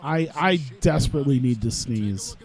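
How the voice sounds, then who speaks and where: A man's voice: mid-range voice, expressive, moderate pace, darkish tone. A man chatting casually while playing a video game at his computer, rambling with lots of fillers, stuffed up from his sinuses. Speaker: gaming YouTuber. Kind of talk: casual gameplay commentary. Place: home room at his computer.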